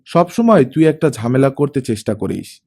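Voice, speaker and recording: Man voicing a brisk, chiding mother, animated. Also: medium pitch, husky. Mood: questioning, annoyed. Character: brisk, easily annoyed mother of grown daughters.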